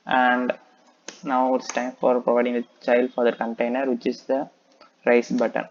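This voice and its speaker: A man narrates a screen-recorded coding lesson in an almost unchanging monotone, working through each step and pausing on "okay". His voice comes through a dull, lo-fi mic with a faint hiss beneath it. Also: mid-range voice. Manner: methodical, steady, thinking aloud, frequent "okay". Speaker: coding tutor.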